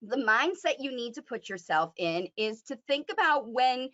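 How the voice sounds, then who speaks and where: A woman with a high voice coaches a parent, talking in an emphatic, encouraging way and often checking in with "right?". The audio is dull and lo-fi, like a call recording. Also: expressive, moderate pace, a bit bright. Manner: emphatic, encouraging, frequent "right?" tag questions.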